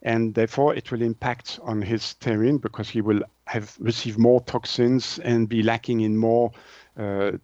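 A man's voice: mid-range voice, fairly even intonation, normal speed, a little mellow, no echo, highs cut off. A man from Switzerland, a native French speaker with a Swiss French accent, explaining things as an interview guest and often searching for words.

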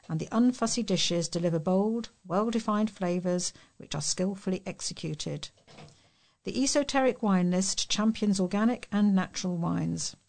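A woman's voice: fairly low pitch, vocal fry, a little mellow. A woman reading local news articles aloud as a volunteer reader.

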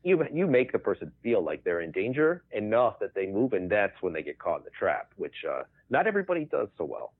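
A man with a very deep voice, talking thoughtfully on a podcast as a guest. His voice comes through a narrow, tinny, phone-like line, and the sound is dry. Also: wildly swooping pitch, a little fast, few pauses, no room sound. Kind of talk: casual conversation.

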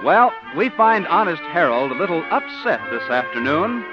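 A man's voice: sits high, sing-song, moderate pace. A man announcing and narrating a scripted 1950s radio show. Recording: muffled old radio broadcast. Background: music.

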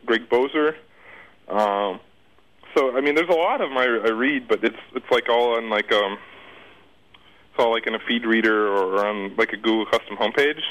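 A man's voice: mid-range voice, fairly bright tone, low-quality recording. A man answering casually as an interview guest, rambling off the cuff, repeating words and trailing off.

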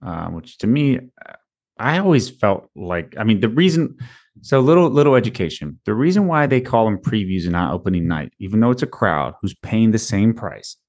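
A man co-hosting a casual podcast, his voice a little raspy, rambling and restarting as he talks. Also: fairly low pitch, big pitch swings, moderate pace, darkish tone. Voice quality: slightly raspy. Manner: rambling, restarts mid-sentence. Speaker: podcast co-host. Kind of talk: casual sports podcast chat.

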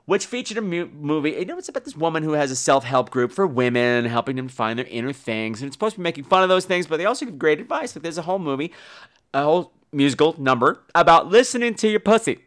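A man talks casually as a solo podcast host. His voice sits a little high and swings up and down a lot. He rambles in a campy, bawdy, self-mocking manner. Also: animated, fast, a bit bright. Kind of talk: casual rambling solo podcast talk about shows and films.

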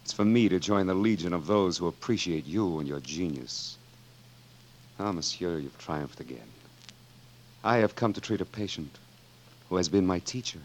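An actor playing a formal, dignified physician in a 1940s radio drama, with a measured delivery. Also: male voice, deep voice, animated. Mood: humbled, kindly. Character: formal, dignified male physician, former rival. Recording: faintly noisy 1940s radio broadcast.

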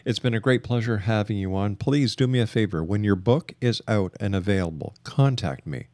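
A man hosting a talk radio interview, speaking in an emphatic, deliberate way and repeating phrases for emphasis. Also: fairly low pitch, darkish tone, no room sound. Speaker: radio show host.

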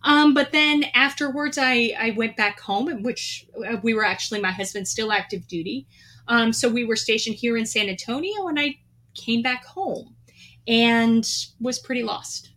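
A middle-aged woman with a bright, crisp, somewhat high voice. She speaks candidly and reflectively about her own past, in an earnest conversational tone. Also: normal speed. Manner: earnest, reflective, with light laughs. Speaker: guest, veteran. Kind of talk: candid personal storytelling.

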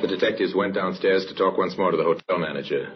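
An actor as the grave narrator of a crime mystery, in vintage radio style. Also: male voice, low-pitched, fast, close to an everyday voice. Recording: dull vintage radio recording with music.

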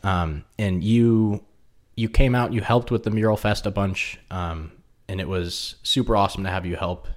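A man's voice: fairly low pitch, normal speed. A man hosting a casual podcast at his kitchen table, chatting easily with a guest with lots of fillers. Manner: relaxed, friendly, lots of fillers. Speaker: podcast host. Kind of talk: casual interview chat. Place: home kitchen.

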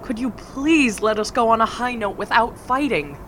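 A woman's voice: a little high, expressive, moderate pace, fairly bright tone, a little hiss or hum. An actress playing an earnest young woman in her first week at a charity job. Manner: pleading, earnest. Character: earnest young female charity worker, newly promoted.

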